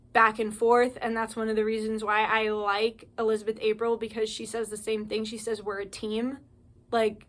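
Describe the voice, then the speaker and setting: A woman's voice: on the high side, fairly bright tone. Fiery, profane woman ranting to camera. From United States. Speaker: spiritual channel host. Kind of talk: impassioned monologue to camera.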